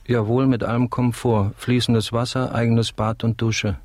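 A man's voice: mid-range voice, little pitch movement, moderate pace, darkish tone, dry recording, lightly acted. A male actor playing a polite, compliant ship's flautist in a German radio play, answering earnestly. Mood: dutiful. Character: earnest, deferential male ship's flute player.

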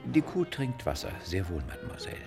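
An actor playing a formal, deferential waiter in a German radio drama, stiffly polite in manner. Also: male voice, low-pitched, wildly swooping pitch, brisk, creaky, a little mellow, faint music behind, exaggerated voice. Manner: stiffly polite, echoes orders.

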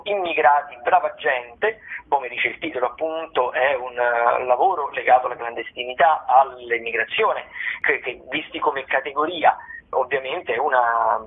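A man hosting a radio show, talking through event listings informally, with hesitant, discursive, improvised phrasing. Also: a little high. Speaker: radio theatre show host. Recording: thin, band-limited telephone-like radio sound.

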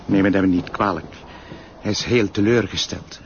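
A man's voice: low voice, moderate pace, muffled recording, noisy background. A man playing a polite, cautious police brigadier in a crime drama, courteous and placating. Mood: polite, regretful. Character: polite, cautious male police brigadier, calming his superior. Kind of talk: Flemish television crime drama acting.

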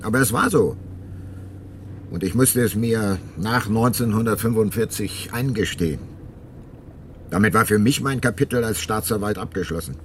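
An actor playing an ageing, weary private detective and former prosecutor, sober and reflective, in a radio play. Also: male voice, on the low side, moderate pace, a little hiss or hum, natural voice. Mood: confessional, grave. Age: older. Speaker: detective, narrator. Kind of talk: radio play narration and dialogue.

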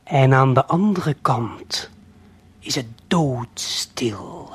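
A man narrating an audio play in the second person, deliberate and suspenseful, speaking straight to the listener. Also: medium pitch, animated, breathy edge. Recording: late 1970s vinyl LP production. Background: prominent music.

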